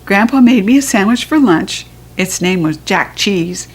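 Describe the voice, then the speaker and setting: Female storybook reader, American accent, mid pitch, playful childlike narration. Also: animated, measured pace, breathy edge.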